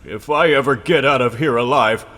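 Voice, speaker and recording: A man's voice: mid-range voice, normal speed, bright, forceful tone, long reverb tail, slight background noise, close to an everyday voice. A man voicing a cowardly, hapless young impostor, jittery and rueful, in a comic book dramatization. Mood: shaken regret.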